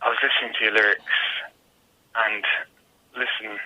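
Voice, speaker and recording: A man's voice: on the low side, level tone, moderate pace, muffled recording. A man answering casually as a musician guest in an interview, reflective and searching for his words. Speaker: guest musician, singer-songwriter.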